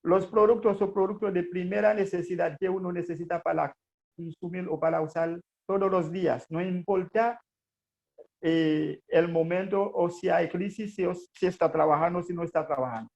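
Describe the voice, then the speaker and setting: Haitian man pitching a business, earnest and hesitant, raspy fry in a high voice, muffled recording. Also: steady tone, normal speed, a little mellow. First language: Haitian Creole. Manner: earnest, hesitant with frequent fillers. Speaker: network marketing presenter. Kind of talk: motivational business presentation.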